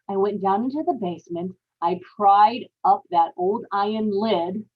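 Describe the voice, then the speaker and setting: A woman playing a guilty, unhinged wife giving an intense, dramatic confession in an old-time radio horror play. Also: on the low side. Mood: grim. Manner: intense, emphatic, repetitive storytelling with dramatic pauses. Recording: dull lo-fi live radio-play audio.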